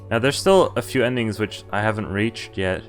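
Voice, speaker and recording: Casual male game streamer over music. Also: on the low side. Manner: informal, halting, thinking out loud. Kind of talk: casual playthrough commentary, reading game text aloud. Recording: music under the voice.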